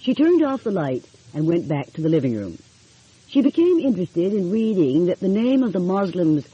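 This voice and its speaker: A woman narrating an audiobook in an expressive storytelling style. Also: lowish voice, breathy edge. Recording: dull, lo-fi audio.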